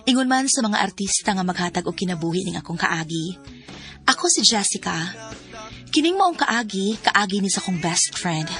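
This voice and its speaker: Bright-voiced, frank best friend. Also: female voice, mid-range voice, expressive, moderate pace, faint music behind. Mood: earnest narrating. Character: loyal, frank best friend Jessica, concerned and supportive woman. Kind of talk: radio drama acting and letter narration.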